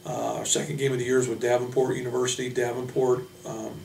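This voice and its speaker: A man talks in a flat, even tone, like a college coach running through his roster without a script. His delivery is halting and plain-spoken. The recording is roomy and distant, with a noticeable hum. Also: mid-range voice, vocal fry. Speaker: college basketball head coach. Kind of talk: unscripted team roster introduction.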